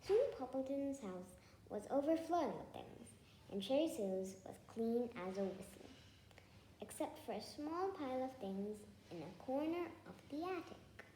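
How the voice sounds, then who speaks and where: A woman reading a children's storybook aloud with expressive, deliberate phrasing. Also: sits high. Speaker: storybook reader. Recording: echoey room, faint background noise.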